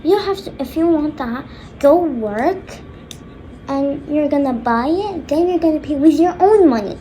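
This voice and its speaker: A woman's voice: high-pitched, animated, a little slow, dark tone, a little hiss or hum, low-quality recording. A woman voicing a stern, angry father who scolds bluntly and emphatically in a homemade skit. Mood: exasperated, lecturing. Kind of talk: homemade skit role-play.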